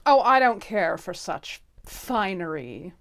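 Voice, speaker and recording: A woman's voice: mid-range voice, big pitch swings, slow pace, a bit bright, full character voice. A woman voicing a spoiled, greedy, vindictive rich duchess in a theatrical, whiny, demanding way for a comic fairy tale. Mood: coy, wheedling.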